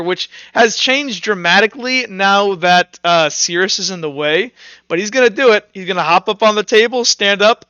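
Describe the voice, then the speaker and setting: A man narrating tabletop roleplay action casually, in a halting, informal way as he thinks aloud. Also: high voice, bright, forceful tone, clear, resonant tone, no echo, lo-fi sound. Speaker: tabletop game master or player narrating actions.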